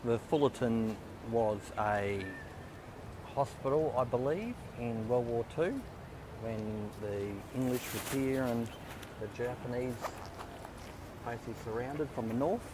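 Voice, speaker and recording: A man talks his way through a walking tour, stumbling and restarting his words in easy, joking chat. His voice sits in the middle range. Loud street traffic rumbles behind him outdoors. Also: a little slow. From Australia. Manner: repeats and restarts words, teasing talk about good value. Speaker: travel vlogger. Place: outdoors on a busy city street.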